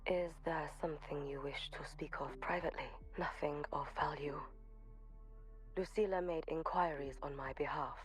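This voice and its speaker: An actress voicing a solemn, composed young shrine maiden in a video game, with formal, measured, grave diction. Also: female voice, low-pitched, steady tone, natural voice. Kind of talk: scripted video game voice acting. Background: background music.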